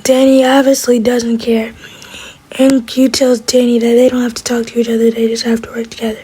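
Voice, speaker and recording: Whispering woman for an ASMR show recap: dark, mellow tone, wry asides. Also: a little high, flat delivery, fairly quick, rough recording. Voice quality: whispering, breathy. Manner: wry, gossipy commentary.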